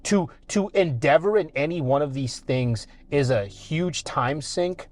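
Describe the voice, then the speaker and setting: A man's voice: a little high, lively intonation, unhurried. A man co-hosting a casual podcast, emphatic and repetitive, swearing often. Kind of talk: casual chat.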